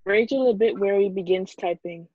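A woman's voice: medium pitch, moderate pace, dry recording. A woman reading stage directions aloud as scripted narration at a film table read. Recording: dull lo-fi video call audio.

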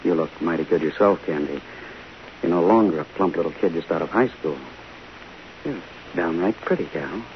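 An actor playing a smooth, flirtatious Hollywood leading man in a 1950s radio drama. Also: sits low, normal speed, pauses often, lightly acted. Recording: hissy, muffled 1950 radio broadcast.

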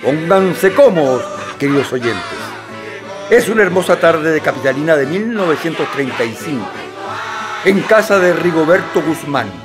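An actor with a Chilean accent playing a genial host who narrates a radio comedy in a theatrical style. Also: male voice, a little high, expressive, moderate pace, lightly acted. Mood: welcoming, theatrical. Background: guitar music.

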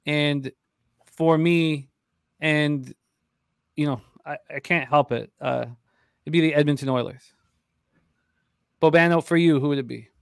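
A man's voice: a little high, steady tone, unhurried, creaky, long pauses, smooth, dry recording. A man in his forties hosting a casual live sports betting show, speaking into a close desk microphone. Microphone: large desk microphone up close.

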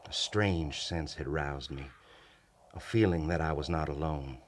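A middle-aged actor playing a haunted Confederate lieutenant, gravely and formally recounting a horror from his journal in a radio play. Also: rumbling low, no echo. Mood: dread, despair. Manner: grave, formal, deliberate storytelling. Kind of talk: dramatic old-time radio play narration. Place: small radio studio. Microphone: vintage-style studio microphone at close range. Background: music.

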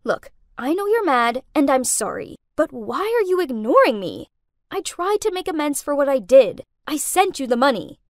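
A woman's voice: high voice, sing-song, normal speed, no echo, studio quality. A woman voicing a hot-tempered, jealous young woman in a dramatic story, melodramatic and emphatic. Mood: pleading.

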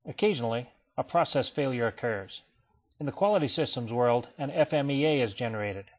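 Man narrating a slideshow, dull lo-fi sound. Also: medium pitch. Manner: measured, even explanatory delivery. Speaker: presenter of a training slideshow. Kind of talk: scripted voice-over narration. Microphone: voice-over, not recorded in pictured place. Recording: dull lo-fi voice-over.

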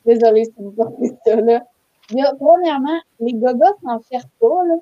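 A woman's voice: on the high side, fairly quick, no room sound, muffled recording. A young woman with a Québécois accent, chatting opinionatedly on a podcast panel. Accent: Québécois French. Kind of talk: casual group chat. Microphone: laptop webcam video call.

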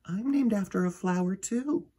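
A man's voice: high-pitched, moderate pace, warm tone, breathy edge, voice pushed up. A man voicing a kindly, theatrical lady music teacher mouse in a storybook, lilting and genteel. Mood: gracious, coy. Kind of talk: children's storybook character reading.

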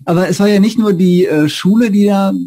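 A man's voice: high voice, brisk, dark tone, no echo. A man in his forties hosting a conversational academic interview. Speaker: interviewer, host. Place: indoor room with bookshelves, on a video call.

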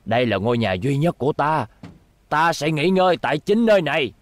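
Stubborn old space station chief. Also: male voice, on the high side, big pitch swings, fairly quick. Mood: stubborn, reassuring. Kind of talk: animated series dub acting.

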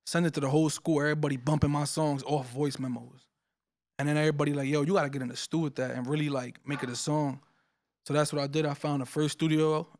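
Laid-back young man, slightly higher voice. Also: a little mellow. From Paterson, New Jersey. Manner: laid-back, slangy, repeats phrases. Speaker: rapper guest. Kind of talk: casual interview chat. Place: podcast studio on a couch. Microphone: studio mic on boom arm.

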